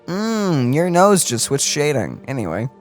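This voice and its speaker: Female gaming co-host, very deep voice, swinging intonation, casual wry asides. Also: sing-song, a little slow, soft background music. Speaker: co-streamer. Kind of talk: casual live reaction to a game.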